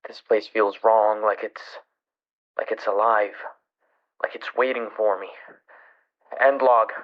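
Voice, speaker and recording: An actor playing a frightened man alone in the wilderness, recording horror audio logs in a halting, breathy voice that drops into whispers. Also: on the low side, fairly even intonation. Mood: horrified, shaken. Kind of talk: horror audio-log drama. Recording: dull lo-fi radio-like recording.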